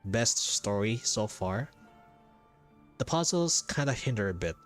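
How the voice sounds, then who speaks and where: A man with a fairly low voice chats casually as a game streamer. He talks in a halting way and often repeats a phrase to stress it. Faint music plays behind him. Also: animated. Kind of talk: casual livestream commentary and reaction.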